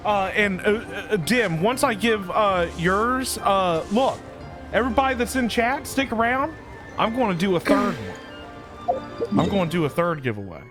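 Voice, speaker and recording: A man livestreaming a game, chatting casually in a halting, repetitive way. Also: high voice, lively intonation. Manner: halting, repeats himself. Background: game music.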